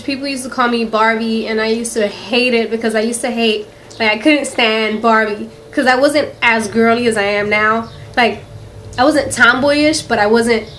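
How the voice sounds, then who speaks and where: Young woman, Philly accent, slightly high voice, rambling chatty vlogger; faint room noise behind. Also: fairly bright tone. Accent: Philadelphia. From Philadelphia, USA. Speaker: beauty vlogger. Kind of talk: casual Q&A vlog to camera. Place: quiet room in a house.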